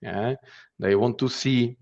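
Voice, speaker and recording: A man's voice: medium pitch, slow, darkish tone, muffled recording. A man from the Czech Republic with a Czech accent gives a conference presentation in a hesitant manner, often repeating words and phrases. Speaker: threat intelligence specialist giving a conference talk.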